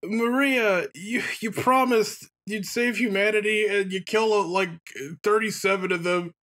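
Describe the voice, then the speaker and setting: A man's voice: sits high, fairly even intonation, measured pace, a bit bright, slight background noise. A man giving joking, casual commentary as a let's player. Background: game music.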